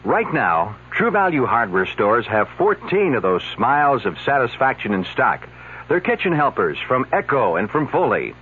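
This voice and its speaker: A man's voice: mid-range voice, slight background noise. A man reading a radio commercial in a friendly, chatty sales manner. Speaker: announcer. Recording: tinny old radio ad.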